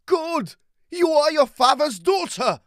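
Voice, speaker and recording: An actor playing an agitated, proud gentleman explorer of old, speaking emphatically in formal, old-fashioned phrases in a gothic audio drama. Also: extremely high pitch, lively intonation, slow, no echo, clean studio sound, heavily acted. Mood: excited.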